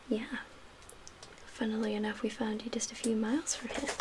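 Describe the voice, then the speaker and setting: A woman playing a calm survivor camp leader in a soft-spoken ASMR roleplay, with a breathy, whispery voice and a gentle, measured, hushed manner. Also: on the high side, close to an everyday voice, low-quality recording. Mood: soothing. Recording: clear background ambient noise.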